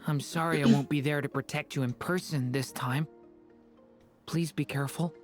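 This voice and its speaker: A man voicing a gentle, earnest young companion in a video game, speaking politely and softly. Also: on the high side, steady tone, moderate pace, soft background music, close to an everyday voice. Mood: caring. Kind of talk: scripted video game dialogue.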